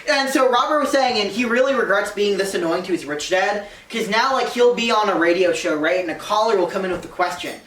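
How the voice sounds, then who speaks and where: A woman's voice: mid-range voice, expressive, a little fast, few pauses, a bit bright, recorded from a distance. A woman giving a comedic lecture summarizing a book for a live audience, mocking it and slipping into voices of the people she describes. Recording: roomy, from off mic.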